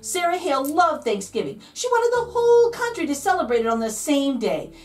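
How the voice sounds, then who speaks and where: A woman reads a children's picture book with playful, theatrical emphasis. Her voice sits fairly high and swings widely in pitch, stretching key words for drama. She sounds a little away from the microphone. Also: sing-song, brisk, a little mellow, distant mic. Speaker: read-aloud host, storyteller. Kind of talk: expressive children's picture book read-aloud.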